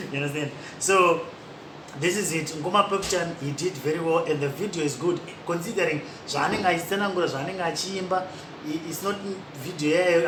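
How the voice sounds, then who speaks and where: A man hosting a podcast, giving chatty, rambling commentary and laughing at himself now and then. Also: a little high. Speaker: podcast host. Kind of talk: casual commentary mixing Shona and English. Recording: echoey room with noisy background.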